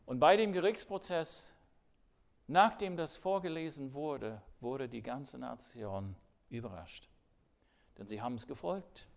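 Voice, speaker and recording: Slow, gravelly older male preacher. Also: medium pitch. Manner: earnest, swinging from loud emphasis to hushed asides. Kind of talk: sermon.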